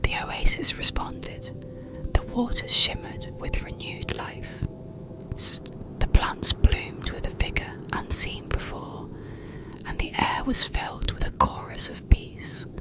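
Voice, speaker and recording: A narrator reading a bedtime story in a breathy, unvoiced ASMR whisper, soothing, with pauses between short phrases. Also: poor audio.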